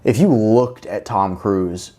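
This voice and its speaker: A man's voice: fairly low pitch, slow pace, warm tone. A man hosting a podcast in casual chat, speaking bluntly and swearing freely. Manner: blunt, profane. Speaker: podcast host; military veteran.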